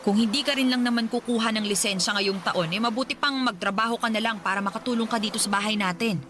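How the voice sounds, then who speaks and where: A woman plays a strict middle-aged Filipino mother in a radio drama. Her voice sits fairly high as she scolds and nags with exasperated insistence. Music plays clearly beneath her. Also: close to an everyday voice. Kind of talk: Tagalog radio drama acting. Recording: background music under the voice.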